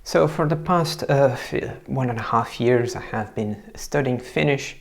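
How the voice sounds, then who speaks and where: Gravelly young man. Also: medium pitch, a little slow. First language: Portuguese. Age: young adult. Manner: hesitant, many fillers and restarts. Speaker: language learner and video host. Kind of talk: casual explainer to camera. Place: small room indoors.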